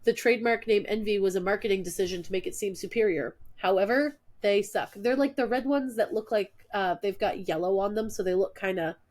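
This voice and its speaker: A woman's voice: medium pitch, fairly quick, few pauses, darkish tone. Stuffy-nosed woman podcaster. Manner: wry, reads names and facts off a post. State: stuffed up from allergies. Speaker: podcast co-host. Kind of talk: casual chat, reading a list aloud.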